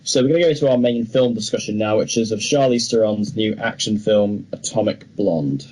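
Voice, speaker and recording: A man's voice: on the low side, fairly quick, a little mellow, slight background noise, rough recording. A young man co-hosting a film podcast, talking casually and giving his opinions freely. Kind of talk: casual discussion of film news.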